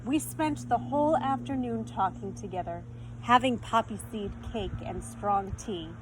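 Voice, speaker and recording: Slow-paced woman reading a picture book aloud. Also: on the high side. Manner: teacherly, explains words and asks listeners questions. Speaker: read-aloud storyteller. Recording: faint background noise.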